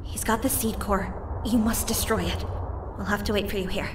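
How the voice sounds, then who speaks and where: A far-off voice under heavy noise, a woman playing a female support operative in a video game. She is earnest and serious as she instructs, with a medium pitch. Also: steady tone, normal speed, natural voice. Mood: urgent instruction. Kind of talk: video game cutscene acting. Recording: distant voice under loud noise.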